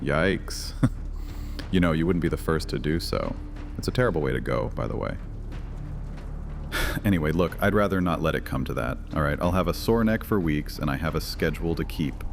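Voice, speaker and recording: An actor playing an ancient vampire high priest in an audio horror drama, grandiose, sardonic and taunting. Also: male voice, expressive, normal speed, vocal fry, over music, heavily acted. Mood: flippant. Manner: theatrical, sardonic, taunting, with casual asides. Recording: long cavernous reverb.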